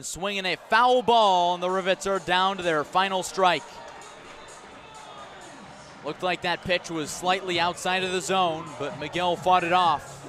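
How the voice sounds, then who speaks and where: An American man calling live baseball on the radio as play-by-play announcer, narrating dramatically in short, clipped phrases. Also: sits high. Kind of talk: live radio sports commentary.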